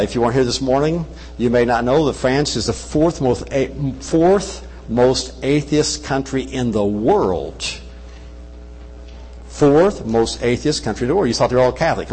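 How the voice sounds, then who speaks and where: A man's voice: mid-range voice, a little mellow, breathy edge, in a large hall, poor audio. An older man with a Kentucky accent, raised in Brazil, preaching as a guest missionary in a church on a wireless mic, folksy with joking asides. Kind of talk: sermon. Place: church service.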